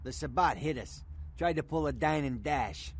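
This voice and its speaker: A man's voice: fairly high pitch, normal speed, slight background noise, close to an everyday voice. A man voicing a street-wise, world-weary American underworld fixer, terse and matter-of-fact. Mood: matter-of-fact, guarded.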